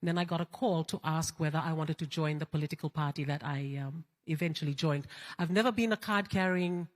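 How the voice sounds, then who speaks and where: Low-voiced woman politician from Fiji. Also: a little fast. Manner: candid, storytelling, hesitant fillers. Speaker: panel speaker, politician. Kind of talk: conference panel answer. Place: large conference hall. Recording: long hall reverb.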